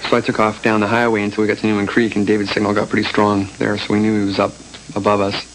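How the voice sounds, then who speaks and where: Fast-talking man recounting a rescue. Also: lowish voice, completely flat delivery. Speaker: rescue participant recounting events. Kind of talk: casual interview recollection. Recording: noisy old TV documentary tape.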